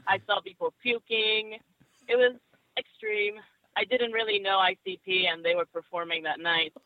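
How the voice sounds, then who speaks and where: A woman's voice: medium pitch, moderate pace. A woman wrestler telling stories in a casual interview, laughing often. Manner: laughing, animated storyteller. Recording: tinny phone line.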